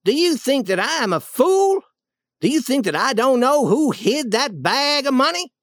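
Narrator acting high, scornful, hot-tempered duke. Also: very animated, moderate pace, fairly bright tone, dry recording, clean studio sound, heavily acted. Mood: furious. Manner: scolding, accusing, emphatic. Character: scornful, hot-tempered male con-man posing as duke.

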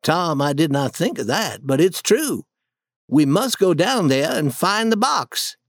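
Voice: medium pitch, very animated, unhurried, no room sound, studio quality, voice pushed up. A storybook reader voicing a timid, superstitious young boy who speaks hesitantly and stammers. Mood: nervous.

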